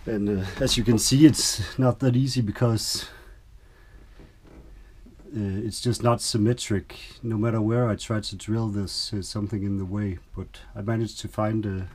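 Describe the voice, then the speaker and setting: A man's voice: lowish voice, steady tone, normal speed, a little mellow, a little hiss or hum. A middle-aged man casually explaining his boat repairs as he works, in a plain, slightly hesitant way. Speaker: DIY sailboat vlogger. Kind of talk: casual narration while doing boat repairs. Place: aboard a small boat, cabin and cockpit.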